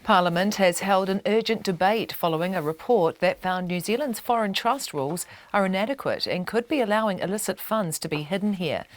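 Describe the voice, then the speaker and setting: A woman reading a scripted radio news bulletin in a measured, formal way. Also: mid-range voice. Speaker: newsreader.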